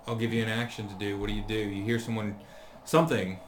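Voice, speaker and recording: A man running a tabletop game as dungeon master, narrating scenes in a halting way, with lots of pauses and "um"s. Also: lowish voice, flat delivery, echoey room, a little hiss or hum. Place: law firm conference room.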